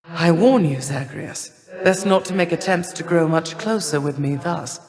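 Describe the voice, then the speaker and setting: A woman playing a stern, haughty elder goddess of winter in a video game, speaking in measured, formal, archaic phrasing. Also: deep voice, darkish tone, in a large hall. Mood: appeased, grudging. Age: older. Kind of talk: video game character voice lines.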